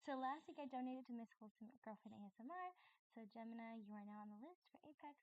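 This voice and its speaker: A woman's voice: on the high side, quick pace, darkish tone, no echo, lo-fi sound. A woman streamer chatting casually live, greeting viewers with chatty exclamations.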